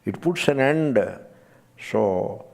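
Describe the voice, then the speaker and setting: Male teacher, gravelly, mid-pitched, measured and emphatic, chants verses. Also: expressive. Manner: measured, emphatic, drops to quiet asides; chants scripture verses slowly. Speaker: spiritual teacher. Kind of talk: spiritual discourse.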